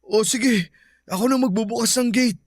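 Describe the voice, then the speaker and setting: A man's voice: very high voice, normal speed, breathy edge, no room sound, studio quality. A man playing a possessive, hot-tempered young boyfriend who pleads dramatically in a Filipino radio drama. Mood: contrite, hopeful.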